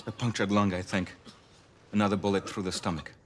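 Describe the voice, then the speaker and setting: An actor playing a gallant, courtly French knight in a video game, with a French accent. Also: male voice, lowish voice, steady tone, fairly quick, slight background noise, lightly acted. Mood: somber. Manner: courteous, peppered with French exclamations. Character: gallant French knight, adult man, wry, courtly, passionate. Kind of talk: dramatic video game cutscene acting. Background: music.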